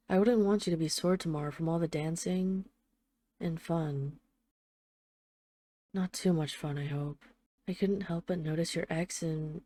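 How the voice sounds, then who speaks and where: A woman's voice: fairly low pitch, mellow, lightly acted. A woman playing a tough but tender, jealous mafia boss, speaking intimately to an absent partner in a scripted audio roleplay voicemail. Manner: intimate, halting, sweary, addressing an absent lover.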